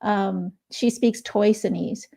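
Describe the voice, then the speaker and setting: Mellow-voiced woman guest, chatty. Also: mid-range voice, fairly even intonation, slow pace, creaky. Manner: chatty, explains at length with many fillers. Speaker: guest author. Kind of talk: casual interview chat. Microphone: remote video call.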